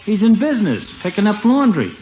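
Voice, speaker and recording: An actor playing a chummy, cocky small-town barber with slangy, joking patter in a 1950s radio comedy. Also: male voice, high-pitched, huge pitch swings, fairly quick. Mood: chummy, breezy. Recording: muffled, noisy 1950 radio broadcast.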